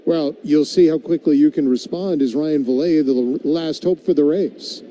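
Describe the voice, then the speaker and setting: A man calling a baseball game as a radio play-by-play announcer, describing each pitch and filling in between with commentary. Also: normal speed, mellow, a little hiss or hum, poor audio.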